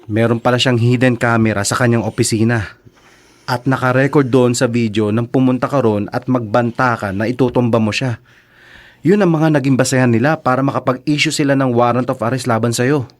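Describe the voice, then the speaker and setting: A man with a Filipino accent playing a frank, concerned lawyer friend in a radio drama, candid and measured. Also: mid-range voice, fairly even intonation, close to an everyday voice. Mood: grave, candid.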